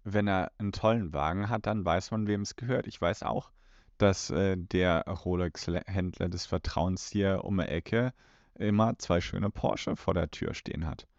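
A man's voice: deep voice, a little slow, no echo. A man co-hosting a casual podcast, dropping in dry, wry remarks. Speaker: podcast co-host. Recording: slightly dull, lo-fi sound.

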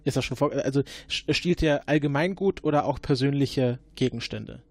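Casting: man as a stiff, hesitant investigator, mid-pitched, close and dry recording. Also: dry recording, close to an everyday voice. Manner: halting, formal, many fillers. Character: formal male time-travel agent questioning a witness.

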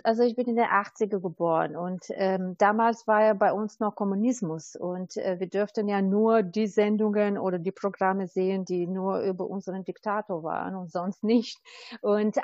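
A woman in her thirties with an Albanian accent, her first language Albanian, answering questions in an easygoing, hesitant way. The sound is dry and a little dull, with no room around her voice. Her pitch sits in a middle range. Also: no echo. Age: 30s. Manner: hesitant, many ums and restarts. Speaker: interviewee. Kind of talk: conversational interview.

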